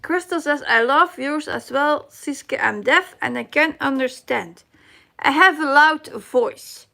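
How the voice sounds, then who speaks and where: Dutch accent, high bright woman's voice, unhurried, halting chat-reading host. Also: vocal fry. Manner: halting, searching for English words, reads chat aloud. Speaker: cross-stitch live stream host. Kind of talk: casual live chat reading comments.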